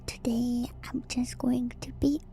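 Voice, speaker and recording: A woman livestream host chatting in a soft ASMR style, moving between whispers and quiet speech, very close to the mic with audible mouth noises. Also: on the high side, level tone, a little slow. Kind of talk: whispered ASMR stream chat. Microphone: very close microphone with gain turned up, mouth noises. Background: music.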